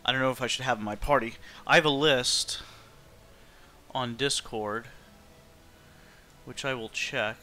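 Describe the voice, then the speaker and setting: A man's voice: mid-range voice, fairly even intonation, a little slow, long pauses, sharp tone. A man livestreaming a game, chatting informally and thinking aloud as he plays. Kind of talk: casual livestream chat over gameplay.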